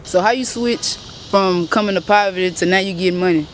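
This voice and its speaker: A man hosting a casual interview and asking questions in relaxed slang. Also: high voice, slight background noise. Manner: casual, slangy, quick affirmations. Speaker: interviewer, host.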